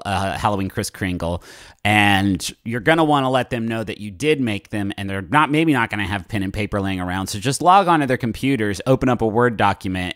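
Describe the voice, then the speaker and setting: A man co-hosting a podcast, riffing haltingly in casual comic banter. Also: fairly low pitch, a bit bright, no echo, clean studio sound. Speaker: podcast host.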